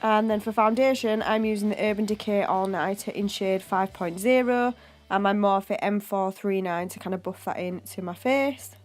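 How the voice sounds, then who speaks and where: A woman's voice: mid-range voice, normal speed, soft background music. A young woman with a cold, chesty voice, casually narrating a makeup tutorial voiceover. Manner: casual, chatty, step-by-step explaining. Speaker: beauty vlogger. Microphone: home voiceover mic.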